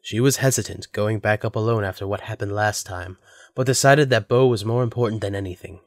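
A man's voice: lowish voice, a little fast, no room sound, clean studio sound. A man narrating a scripted audio drama as its storyteller.

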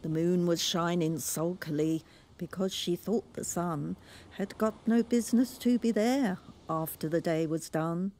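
A woman reading a children's poem aloud in a theatrical, measured storytelling manner. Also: lowish voice, mellow, slight background noise. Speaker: poem reader. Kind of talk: expressive children's poetry recital.

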